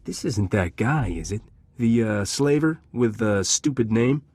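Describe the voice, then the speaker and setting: A man's voice: mid-range voice, animated, unhurried, a little mellow, husky, dry recording. An actor playing a cocky, wisecracking male smuggler captain in a video game, flippant and dry. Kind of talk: video game cutscene acting.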